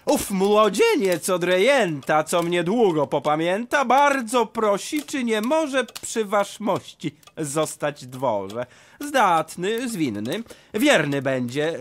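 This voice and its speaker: A man's voice: high voice, sing-song, slow pace, full character voice. An actor playing a cowardly, boastful hanger-on in a theatrical verse comedy, pompous and whining in his delivery. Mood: smooth persuasion. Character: cowardly, boastful hanger-on, wheedling and anxious schemer.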